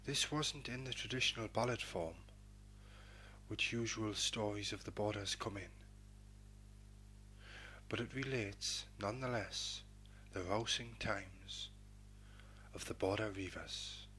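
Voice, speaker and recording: A man hosting a poetry programme and reading verse in a measured, dramatic, declamatory manner with a husky voice. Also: fairly low pitch, sharp tone, a little hiss or hum. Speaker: poetry programme host and reader.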